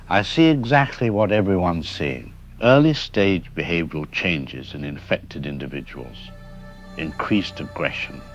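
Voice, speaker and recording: A very deep-voiced man as a haunted scientist calling in to a radio show. He sounds grave and alarmed, halting and then emphatic as he explains. Music runs under his voice. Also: muffled recording. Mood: grave, worried. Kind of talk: audio drama interview acting.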